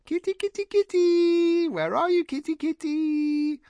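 A man voicing an excitable, simple-minded, childlike woman with shrill exclamations and rustic phrasing in an audiobook. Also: measured pace, no room sound. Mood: shrill, urgent. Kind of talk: audiobook character voice.

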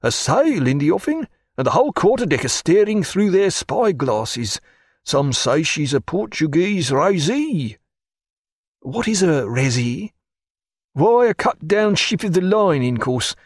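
A man's voice: fairly high pitch, sing-song, measured pace, dry recording, studio quality, voice pushed up. A man doing a grumbling old ship's steward. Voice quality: rough sailor's dialect.

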